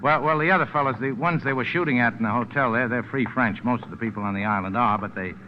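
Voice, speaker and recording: An actor playing a tough, cynical American charter boat captain in 1940s radio drama, curt and wry. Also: male voice, mid-range voice, quick pace, close to an everyday voice. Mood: casual, guarded. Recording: muffled, noisy old radio broadcast.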